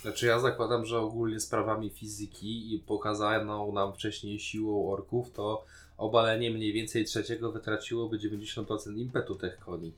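A man chats as a podcast co-host. His voice is a little low. He reviews in a casual, playful way with a joking touch. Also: level tone, moderate pace. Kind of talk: casual chat reviewing a TV series.

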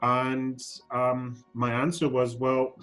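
A man's voice: medium pitch, monotone, slow pace, a bit bright, soft background music. A man in his forties, born in Scotland and raised in Germany, answering questions as a podcast guest, with frequent ums and restarts. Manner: hesitant, many ums and restarts. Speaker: guest, fashion council CEO. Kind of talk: podcast interview.

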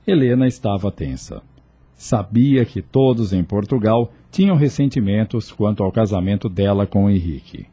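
A man's voice: lowish voice, expressive, normal speed, warm tone, husky. A man with a Brazilian accent narrating a scripted radio drama serial.

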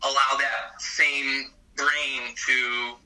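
A man hosting a casual chat, talking in an expressive, animated way. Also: mid-range voice, extremely slow, distant mic, low-quality recording. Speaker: podcast host.

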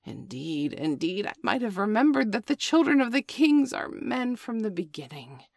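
A woman voicing a small, fawning and spiteful male jackal who drawls his flattery in breathy whispers. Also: medium pitch, no room sound, clean studio sound, voice pushed up. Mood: wheedling. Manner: wheedling, breathy whispers, drawn-out words.

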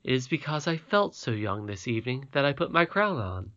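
A calm, wise and ancient great-great-grandmother from a bedtime storybook, read by a man in a voice close to his own. She speaks gently and reassures in a measured way. The sound is dull and lo-fi. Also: mid-range voice. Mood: tender.

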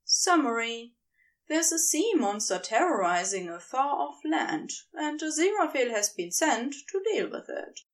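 Slow, expressive woman narrating a story. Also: high-pitched, distant mic. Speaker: podfic reader.

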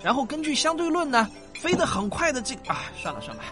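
Casting: male actor as fond, patient dad for audio drama; exclamatory, coaxing, very lively intonation. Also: very high-pitched, fast talker. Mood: patient explaining. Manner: coaxing, exclamatory, softened with sighs and particles. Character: affectionate, indulgent father humouring his young daughter. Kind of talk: science-fiction radio drama acting. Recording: music bed behind the voice.

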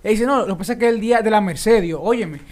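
High-voiced Dominican young man. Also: rapid-fire. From Dominican Republic. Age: young adult. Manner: joking, laughs often, then earnest. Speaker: podcast host. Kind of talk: casual group chat.

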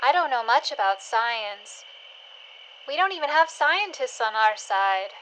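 A woman playing a curious, wistful scavenger in an audio drama, speaking in a gentle, hesitant, questioning way. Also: a little high, lively intonation, a little slow, slight background noise, poor audio. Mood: playful, musing.

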